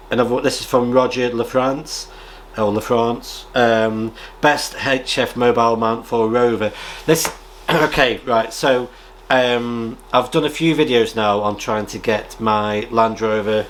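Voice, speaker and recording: A British man chatting casually as a radio hobby vlogger, rambling in a halting way and often clearing his throat. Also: mid-range voice, slight background noise. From United Kingdom. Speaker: amateur radio vlogger and presenter. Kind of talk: casual rambling chat, reading out viewer comments.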